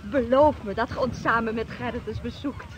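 Plaintive, high-voiced seafarer's wife played by an actress. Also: female voice, normal speed, lightly acted. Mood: wistful. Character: long-suffering, anxious captain's wife with failing eyes. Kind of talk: Dutch radio drama acting. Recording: noisy outdoor harbour ambience.

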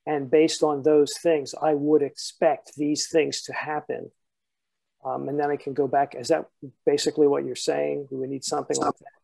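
A man with a slightly high, creaky voice moderates an academic video call. His delivery is even and measured, with little room echo. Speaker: session moderator.

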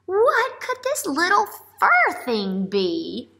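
A sweet little storybook duckling, voiced by a woman reading aloud, high and bright. The duckling sounds curious and wide-eyed, stretching out phrases with expressive delivery. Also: slow pace, gravelly, full character voice.

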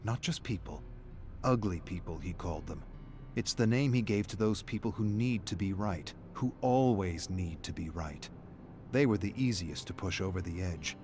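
A man's voice: deep voice, darkish tone, close to an everyday voice. A man reading a scripted, dramatic story narration as the narrator. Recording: background noise under game narration.

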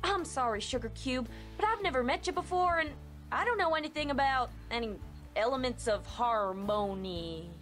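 Cartoon farm mare woman actor: high-pitched Southern drawl, folksy and polite, lively, music behind. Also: normal speed. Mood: baffled, polite. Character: folksy young female farm pony, friendly, baffled. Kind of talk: animated fan-series dialogue.

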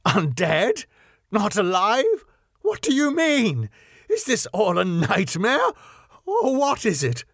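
A man voicing an anguished young English lord in an audiobook, proud, indignant and high-strung. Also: huge pitch swings, slow, a bit bright, no room sound, clean studio sound, heavily acted. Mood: shocked, anguished.

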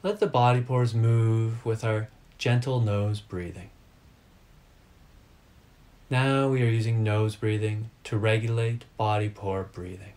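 Slow-paced man guiding a meditation. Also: fairly low pitch. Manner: measured short phrases with calm, deliberate delivery. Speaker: meditation teacher. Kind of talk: guided meditation instruction.

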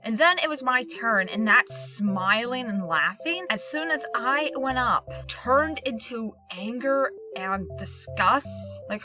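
A young woman with a Pittsburgh accent and a speech impediment, telling a rambling storytime in casual chat. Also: a little high. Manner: rambling, chatty, with little laughs and asides. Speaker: YouTuber. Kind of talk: casual storytime over speedpaint. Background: background music.

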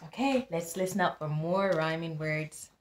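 A woman in her thirties reading a picture book aloud to young children as a tutor, expressive and deliberate, often prompting with questions, recorded in a small room. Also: deep voice, roomy sound. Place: small plain-walled room indoors.

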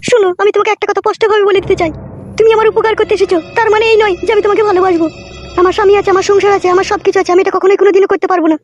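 A woman's voice: very high voice, steady tone, very fast. A woman voicing an emphatic, plain-spoken wronged young wife in a comic cartoon, on a dull recording. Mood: firm, reproachful. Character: shrill wronged young married village woman, wary then yielding. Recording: dull lo-fi audio with music.

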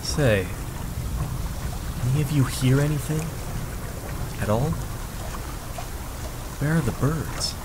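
A man's voice: mid-range voice, slow pace, pauses often, background noise, close to an everyday voice. A man playing a folksy, nervous young sidekick who speaks with an uneasy, homespun drawl. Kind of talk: radio serial acting.

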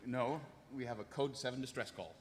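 A man's voice: mid-range voice, a little fast, slight background noise, natural voice. An actor playing a stern, earnest male secret agent in a live table read, with clipped, formal delivery. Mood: businesslike. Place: convention panel stage, table microphone. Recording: echoey convention hall.

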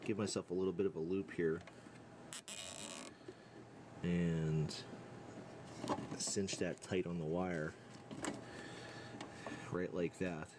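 A man narrating a casual hands-on tutorial, thinking aloud in an unhurried way as he works. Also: sits low, flat delivery. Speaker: DIY electronics demonstrator. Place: indoor workbench. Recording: clear background noise and room ambience.

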